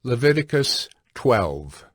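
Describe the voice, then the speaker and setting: A man giving scripted scripture narration in a solemn, deliberate way, as a chapter announcer. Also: medium pitch, big pitch swings, dry recording, studio quality.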